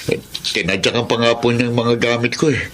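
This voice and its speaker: Man acting a humble older Filipino cobbler, medium pitch. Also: fairly even intonation, a little fast, a bit bright, slight background noise, natural voice. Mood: resigned. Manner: deferential, meek, forgiving. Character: humble, apologetic older itinerant male cobbler. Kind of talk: Filipino radio drama acting.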